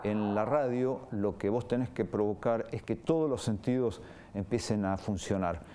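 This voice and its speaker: A man's voice: lowish voice, moderate pace, noisy background. An Argentine man, a radio drama writer, explaining his craft in an interview with vivid examples.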